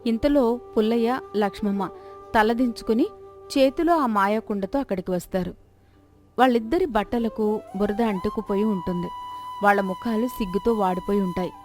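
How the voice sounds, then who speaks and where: A woman's voice: medium pitch, moderate pace, darkish tone, soft background music. A woman narrating a scripted moral folk story as a storyteller.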